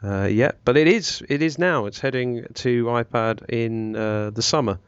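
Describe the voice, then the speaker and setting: A man hosting a casual podcast chat, hesitant and rambling with many pauses. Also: mid-range voice, clear, resonant tone, dry recording. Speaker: podcast host. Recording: dull lo-fi, dry.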